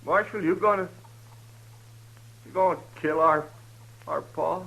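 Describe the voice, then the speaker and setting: Gruff, sharp-voiced wounded farm son. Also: male voice, fairly high pitch, steady tone, unhurried, exaggerated voice, low-quality recording. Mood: pained, uneasy. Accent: American rural Western. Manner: curt, gruff. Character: gruff young frontier son, bossy and wounded. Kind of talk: 1950s radio western acting.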